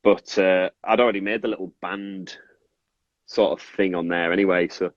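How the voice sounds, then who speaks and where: Rambling low-voiced northern English man. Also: steady tone, normal speed. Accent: Cumbrian northern English with Scottish influence. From Carlisle, Cumbria, northern England. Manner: rambling, self-interrupting, apologetic about tangents. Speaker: toy maker and resin artist, guest. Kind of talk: casual, informal craft explanation. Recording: muffled, echoey room sound.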